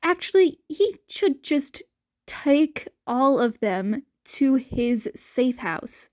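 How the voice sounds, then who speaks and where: A woman's voice: high-pitched, big pitch swings, very slow, darkish tone. A woman reading a story aloud as scripted narration with character dialogue, as an amateur podfic reader.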